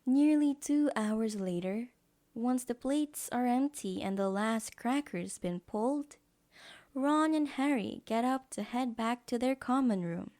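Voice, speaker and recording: Slow, mellow woman narrator. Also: a little high. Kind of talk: scripted storybook narration.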